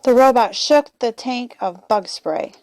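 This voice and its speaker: A woman's voice: on the high side, measured pace, a little mellow, slight background noise. A woman reading a children's storybook aloud as the storyteller.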